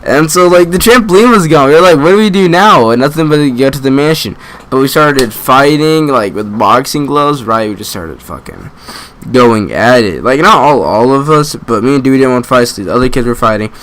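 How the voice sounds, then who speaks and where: A man's voice: medium pitch, expressive, fairly quick, fairly bright tone. A young man with a mid-range voice tells a story in a loose, casual way. He rambles, leans on slang and swearing, and often breaks off his own sentences before picking the thread back up. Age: young adult. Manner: rambling, slangy, frequent swearing, self-interrupting. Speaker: gaming video creator. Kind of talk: casual storytelling over gameplay.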